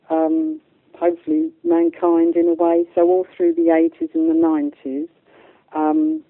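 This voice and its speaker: A woman's voice: low voice, no room sound. An older woman, chatting in a rambling, colloquial way as she tells her life story as an interview guest. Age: middle-aged or older. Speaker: spiritual medium, interview guest. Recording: thin phone-like band-limited line.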